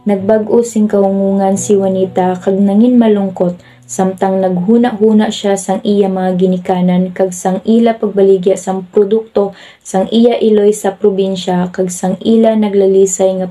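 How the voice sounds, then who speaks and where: A woman's voice: mid-range voice, dark tone. A woman with a Filipino accent reading scripted narration for a radio drama. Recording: music bed behind the voice.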